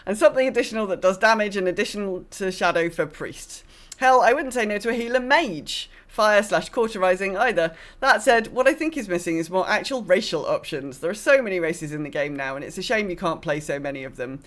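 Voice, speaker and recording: A woman's voice: medium pitch, animated, fairly quick. A woman co-hosting a podcast, chatting casually about video games in an informal, opinionated way, with some mild swearing. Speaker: podcast co-host.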